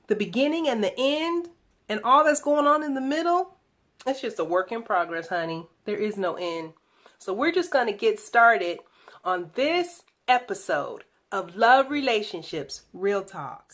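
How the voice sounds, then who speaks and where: A woman hosting a relationship video blog, speaking in a reflective, deliberate monologue with emphatic phrasing. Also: fairly high pitch, a bit bright.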